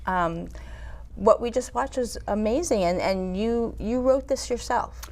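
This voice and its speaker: A woman's voice: fairly high pitch, unhurried, darkish tone. A woman hosting a TV talk show, interviewing in an informal, hesitant way. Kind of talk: casual interview.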